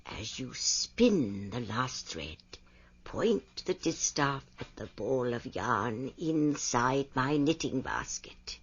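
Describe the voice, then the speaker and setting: An actress playing an old woman weaver in an audio drama, a patient but stern motherly mentor who instructs in a hushed, deliberate way. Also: extremely low pitch, very animated, husky, dull audio, full character voice. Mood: coaxing, secretive.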